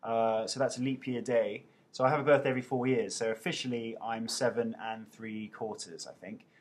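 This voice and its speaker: Man, 30s, husky, mid-pitched, casual and self-mocking webcam Q&A. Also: steady tone, fairly quick. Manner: playful and self-mocking, reading out questions. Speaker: actor answering viewer questions. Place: indoors at home. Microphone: webcam mic.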